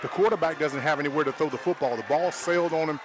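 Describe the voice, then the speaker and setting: A man's voice: fairly high pitch, fast, noisy background. A man giving conversational color commentary and analysis during a live football broadcast.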